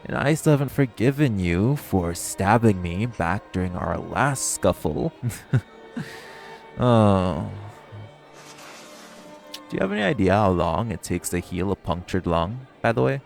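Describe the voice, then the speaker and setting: A man's voice: on the low side, animated, measured pace, gravelly, long pauses, darkish tone, faint music behind. A man playing a teasing, flirtatious and menacing male vampire in an intimate audio roleplay, smug and sardonic.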